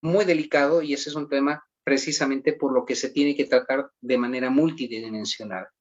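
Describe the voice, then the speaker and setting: A man answering interview questions at length in a measured, formal way, often pausing with "eh". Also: mid-range voice, steady tone. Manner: measured, formal, frequent "eh" pauses. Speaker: guest. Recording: dull lo-fi audio.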